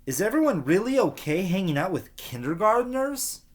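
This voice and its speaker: A man voicing a reluctant, exasperated schoolboy older brother in an expressive storybook reading. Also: sits high, moderate pace, voice pushed up.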